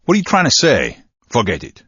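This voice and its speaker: A dim henchman guard in a spy game, raspy and forceful, with lively swings of pitch. He talks in casual slang, on slightly dull lo-fi audio. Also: brisk, no room sound, exaggerated voice. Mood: idle, casual. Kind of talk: scripted comic game guard banter.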